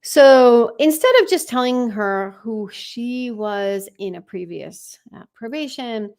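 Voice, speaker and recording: A woman's voice: a little high, takes it slowly, darkish tone. A woman hosting a true crime live stream, giving informal, conversational commentary with wry asides. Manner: conversational, wry asides, acts out read messages.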